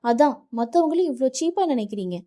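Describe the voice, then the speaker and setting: A woman voicing an angry young wife who shouts at her husband and later pleads through tears. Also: medium pitch, animated, unhurried, dry recording, studio quality, voice pushed up, close to an everyday voice. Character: young wife, hurt and defiant.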